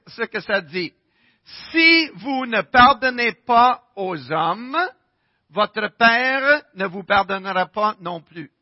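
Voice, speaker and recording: English-speaking Canadian pastor preaching in French, slow and deliberate, muffled close sound. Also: male voice, sits high, no echo. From Winnipeg, Canada. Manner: conversational preaching with rhetorical questions. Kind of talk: sermon.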